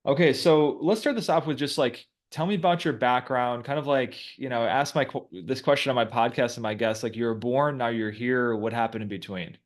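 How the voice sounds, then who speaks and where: A man in his thirties hosts a laid-back coaching conversation. He talks in a relaxed way, dropping plenty of filler words as he goes. His voice sits at an ordinary middle pitch. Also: fairly quick, hardly any pauses. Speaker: host coaching a guest. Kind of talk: casual coaching chat.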